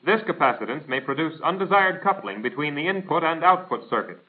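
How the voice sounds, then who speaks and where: Thin tinny vintage film soundtrack, American man, lively intonation, formal instructional narrator, precise, didactic. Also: fairly high pitch, fairly quick. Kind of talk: scripted instructional narration, 1940s military film. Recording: tinny band-limited 1940s film soundtrack.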